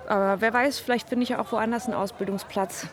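A woman's voice: medium pitch, steady tone, quick pace, close to an everyday voice. An actress playing a friendly but worried seventeen-year-old girl who talks casually and a little hesitantly in a naturalistic radio drama. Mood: wistful, casual. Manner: hesitant, casual youth slang.

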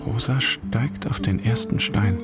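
An actor playing a pained, lovestruck man, narrating in a hushed, halting, breathy way in a radio play. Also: fairly low pitch, loud music behind the voice, natural voice. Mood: aching, tender.

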